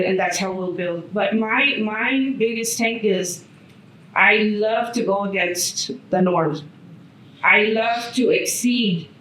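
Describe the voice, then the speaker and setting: A middle-aged woman on a panel, loud-voiced, warm and self-deprecating. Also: mid-range voice, reverberant, a little hiss or hum, rough recording. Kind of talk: panel discussion talk. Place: hotel event room at a brunch.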